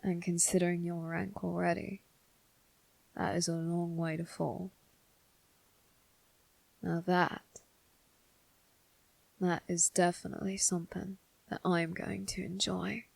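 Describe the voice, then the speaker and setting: A woman voicing a sly, predatory demon disguised as a servant in a scripted ASMR roleplay, with a breathy, whispery voice and a teasing, deliberate delivery. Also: fairly low pitch, flat delivery, a little mellow, full character voice, rough recording. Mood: taunting, predatory. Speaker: voice actor.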